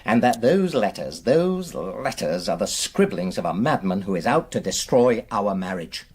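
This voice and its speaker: A man's voice: lowish voice, sing-song, moderate pace, a little mellow, soft background music. A man acting in a naturalistic radio drama as a defensive, aggrieved husband, earnest and emphatic as he justifies himself. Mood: defiant, determined. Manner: earnest, emphatic, self-justifying, hesitant under questioning. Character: aggrieved, defensive middle-class husband suspected of an affair.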